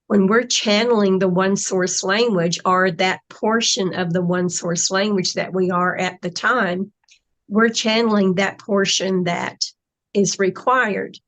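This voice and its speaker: Slow, reflective woman talking. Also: medium pitch, steady tone, a bit bright. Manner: slow, reflective, trailing phrases. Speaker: spiritual channeler. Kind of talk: casual conversation.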